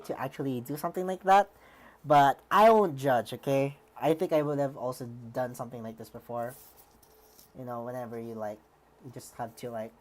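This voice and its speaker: A woman's voice: sits low, a little hiss or hum. A woman streamer giving rambling live commentary, full of fillers and restarts, with a slightly worn voice.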